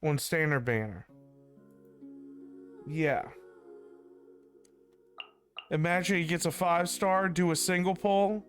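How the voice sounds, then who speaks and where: Higher-pitched, unhurried male game streamer. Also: fairly bright tone. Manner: rambling, repeats himself. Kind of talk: casual livestream chat. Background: faint game music.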